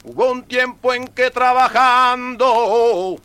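Male, extremely high and crisp, slow deliberate scripted radio delivery. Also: steady tone, pushed and bright, no room sound.

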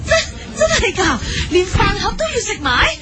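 Very high, swooping, girlish female sidekick. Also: very animated, moderate pace, background noise. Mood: whiny amazement. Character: gullible girlish whiny female food-show sidekick. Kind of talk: parody TV food show in a radio comedy sketch.

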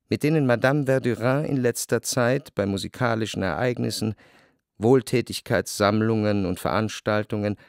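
A man narrating a literary radio play in the first person, measured and composed, in a dry studio. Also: mid-range voice, no room sound, natural voice. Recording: dry close studio sound.

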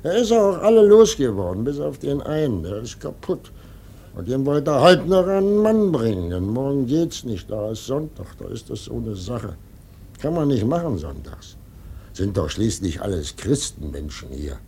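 An actor playing a folksy, sly, unbothered innkeeper in a German radio play, husky and chatty, repeating himself. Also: male voice, medium pitch, sing-song, moderate pace, warm tone, slight background noise. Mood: soothing, wry.

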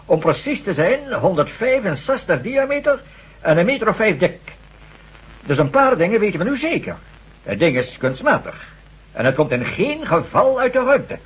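A hesitant, stammering scientist in an old radio play, high-pitched and lively in tone. His husky voice comes through a dull, lo-fi recording. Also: moderate pace, exaggerated voice. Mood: explaining. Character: Professor Jackson, hesitant acoustics scientist. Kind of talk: 1970s radio drama acting.

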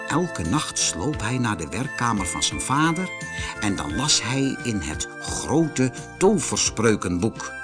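Animated Dutch man narrating a fairy tale. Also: medium pitch. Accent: Netherlands Dutch. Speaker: audiobook narrator.

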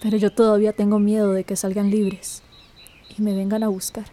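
Radio drama heroine, acted by a woman: gentle, hopeful young woman, soft dark tone. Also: medium pitch, little pitch movement, brisk, lightly acted. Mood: fearful.

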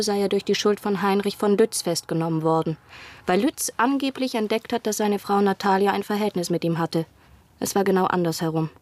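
An actress in a German radio drama playing a desperate young spy in love, speaking with earnest intensity and sometimes a hushed breath. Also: female voice, mid-range voice, natural voice. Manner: earnest, intense, at times dropping to breathy whispers. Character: desperate female spy in love, pleading and persuading.

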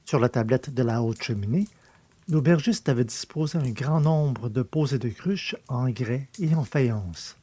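A man's voice: medium pitch, a little slow, mellow, slightly rough. A man reading literary fiction as a scripted audiobook narrator.

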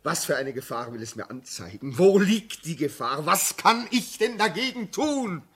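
An actor playing a haunted, anxious railway signalman in a 1950s radio drama, halting and agitated, swinging between hushed dread and crying out. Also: on the high side, very animated, breathy edge, exaggerated voice. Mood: desperate. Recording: muffled-edged vintage 1950s radio recording.

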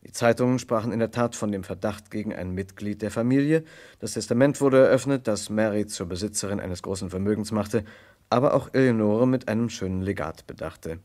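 An actor playing an earnest, gallant gentleman who narrates and investigates in a German radio crime drama, with a formal, polite manner. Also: fairly low pitch, a little fast, lightly acted. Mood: grave narration.

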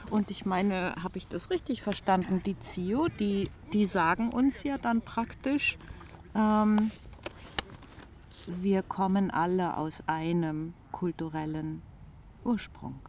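A woman's voice: medium pitch, takes it slowly, noisy background. A woman interviewer who listens closely, says "mhm" often, and restates what her guest says in her own words. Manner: attentive, backchannels often, rephrases and sums up ideas. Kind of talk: casual interview chat. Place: outdoors in the grass by a lake.

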